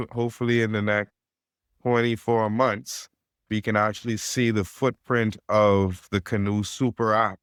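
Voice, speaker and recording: A man with a Caribbean accent, a fintech co-founder speaking as a podcast guest in a steady, businesslike way. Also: clear, resonant tone, no room sound, studio quality. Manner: steady, businesslike pitch with stacked phrases. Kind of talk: podcast interview.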